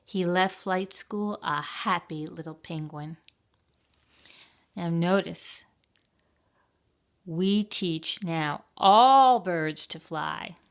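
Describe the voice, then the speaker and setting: A woman reading a picture book aloud to young children at a library storytime, expressively, pausing to ask them questions. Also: on the low side.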